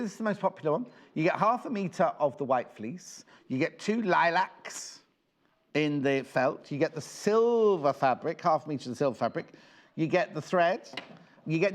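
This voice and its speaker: A man's voice: high voice, a bit bright. A middle-aged man presenting a live shopping show from a TV craft studio, chatty and jokey, rambling between banter and sales patter. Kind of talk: live sales demo chat.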